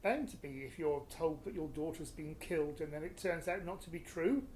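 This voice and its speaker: A brisk British parent acted by a woman, blunt and protective, with a slightly low voice. The radio play is recorded in an echoey room with faint noise. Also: darkish tone, natural voice. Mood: cross, defensive. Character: blunt, indignant middle-aged parent, protective and dismissive. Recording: roomy, faintly noisy recording.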